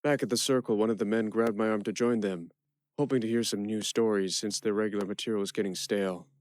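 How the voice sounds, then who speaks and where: A slightly low male voice, acted by a man, telling a prisoner's story in dramatic first person. The sound is dry and close, the figure quick-witted and improvising. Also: steady tone, darkish tone, dry recording, close to an everyday voice. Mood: reflective. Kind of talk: first-person dramatic narration for an audio play.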